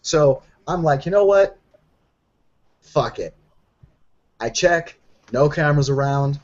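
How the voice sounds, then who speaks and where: A man's voice: on the high side, animated, slow pace, dull audio. A man playing a brash werewolf telling a battle story in a casual, profane, rambling and boastful way. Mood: excited bragging. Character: brash young male werewolf storyteller bragging about a fight. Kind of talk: in-character tabletop roleplay storytelling.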